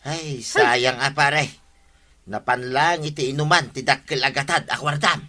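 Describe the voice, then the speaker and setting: A man's voice: medium pitch, moderate pace, bright, forceful tone, natural voice. A man acting a chatty village man in a comedy radio drama, with an Ilocano accent. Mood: dismayed.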